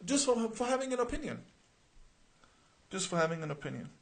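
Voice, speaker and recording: A man's voice: high voice, big pitch swings, a little fast, vocal fry. A man from Iraq hosting a live question-and-answer stream, reading viewer comments aloud and answering them earnestly, with many uh's and repeated words. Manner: reads viewer names then answers, with frequent uh and repeated words.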